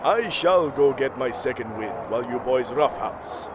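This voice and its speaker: A man's voice: mid-range voice, lively intonation, moderate pace, background noise, close to an everyday voice. A man playing a grand, protective father god, theatrical and emphatic, in an audio drama. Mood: concerned, relenting.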